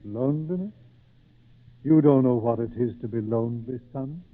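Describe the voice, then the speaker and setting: An actor playing a grave, worried father with a measured, solemn delivery in an old-time radio drama. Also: mid-range voice, no room sound, lightly acted. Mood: solemn warning. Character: grave, worried father warning his headstrong son. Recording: tinny old radio recording.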